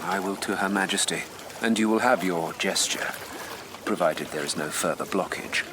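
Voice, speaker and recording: A man's voice: sits low, normal speed, natural voice. An actor playing a grave, guarded statesman, speaking formally in a period radio drama. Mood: reluctant. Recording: noisy water ambience behind.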